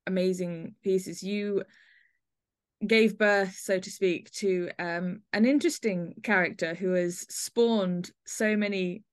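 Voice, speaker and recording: A woman's voice: mid-range voice, steady tone, takes it slowly, smooth, dry recording. Female podcast host, dry recording, effusive, complimentary, conversational interviewing.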